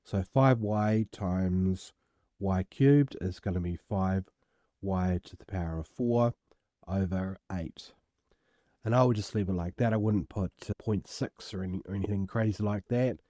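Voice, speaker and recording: A man with a croaky voice, casually working through an algebra exam step by step as a tutor, recorded at home. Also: sits low, a little slow, warm tone, no echo, studio quality. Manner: casual, self-deprecating, explains step by step. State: croaky voice from illness. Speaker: maths tutor. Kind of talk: worked exam walkthrough.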